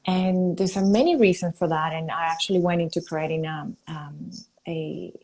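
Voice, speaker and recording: A woman from Venezuela, a company founder, chatting as a guest on a live video call, enthusiastic and quick, laughing often. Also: on the low side, darkish tone. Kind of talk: casual live-stream chat.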